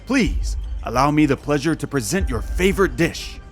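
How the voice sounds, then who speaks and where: A man's voice: fairly high pitch, expressive, normal speed, close to an everyday voice. A man playing a formal restaurant chef in an audio drama, ceremonious and flowery in his politeness. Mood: gracious. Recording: music under the voice.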